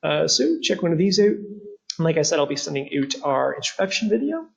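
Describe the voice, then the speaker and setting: A man's voice: on the high side, big pitch swings, a little fast, vocal fry, husky. A young man, not Czech by birth, presents a study abroad webinar over slides, with many "uh" fillers and an earnest, explaining tone.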